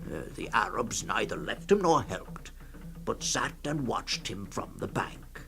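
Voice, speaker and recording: An actor playing a hesitant male storyteller in a 1960s radio reading, gravelly and halting, telling a grim tale with many uhs and pauses. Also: on the low side, lively intonation, pushed and bright, exaggerated voice. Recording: 1960s radio production with music.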